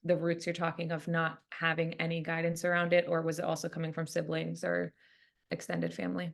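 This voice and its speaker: A woman's voice: deep voice, monotone, a little fast, vocal fry. A woman hosting an interview podcast, earnest and encouraging, asking long open questions. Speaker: podcast host, coach.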